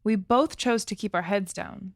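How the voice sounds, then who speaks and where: A woman acting in an audio drama as a calm, reassuring cyberpunk fugitive with a soft, hesitant manner. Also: medium pitch, moderate pace, no echo, clean studio sound, natural voice.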